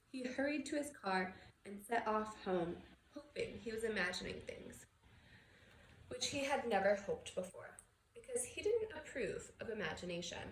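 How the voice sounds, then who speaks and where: A woman reads a children's novel aloud as an expressive storyteller. Her voice sits at a middling pitch, in a roomy, echoing space with faint background noise. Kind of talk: expressive read-aloud narration.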